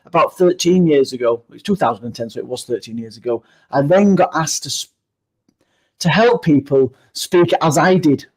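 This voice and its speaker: A man's voice: a little high, moderate pace, husky, rough recording. A man in his fifties with a Yorkshire accent, a down-to-earth guest speaker answering interview questions reflectively. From Yorkshire, England. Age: 50s.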